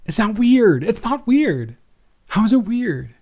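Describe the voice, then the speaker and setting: A man's voice: high voice, sing-song, a little mellow, full character voice. Man acting teasing, affectionate, flustered roommate. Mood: flustered, defensive. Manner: halting, conversational, self-correcting. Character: teasing, affectionate, flustered young male roommate cuddling a friend. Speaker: audio roleplay performer. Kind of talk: improvised intimate boyfriend-style audio roleplay.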